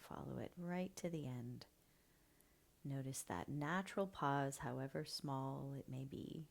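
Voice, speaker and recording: A woman leading a guided breathing meditation, speaking softly and slowly, often half-whispering. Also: a bass voice, creaky. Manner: slow, soft and soothing, often half-whispered.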